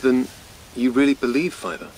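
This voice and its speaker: A man's voice: mid-range voice, moderate pace, background noise, close to an everyday voice. A male actor playing a thoughtful, anxious rabbit in a radio drama, questioning and wary in manner.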